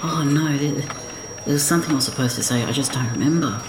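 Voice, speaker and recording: An anxious, fretful man played by a male actor talks to himself in an audio drama, halting and stammering as he repeats his worries. His mid-pitched voice sits in an echoing space with noise around it. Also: natural voice. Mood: anxious, distressed. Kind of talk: first-person inner monologue in audio drama. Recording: noisy, echoing room sound.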